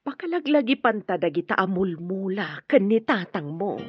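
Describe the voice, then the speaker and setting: An actress playing a tender, hardworking middle-aged farm mother in a radio drama, with a husky voice. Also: mid-range voice, big pitch swings, normal speed, no room sound, dull audio, faint music behind. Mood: tender, earnest.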